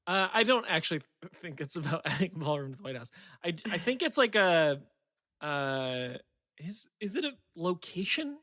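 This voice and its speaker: A man's voice: fairly high pitch, sing-song, normal speed, pushed and bright, dry recording, clean studio sound. A man chatting casually as a podcast panelist, offering guesses in an informal way. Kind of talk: casual chat.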